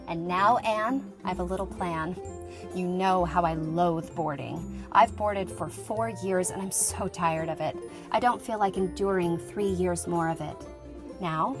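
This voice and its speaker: A woman playing a lively young schoolteacher reading out her letter in a conversational, wryly humorous way, in an acted audiobook. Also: medium pitch, lightly acted. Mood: eager, friendly. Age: young adult. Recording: music playing behind the voice.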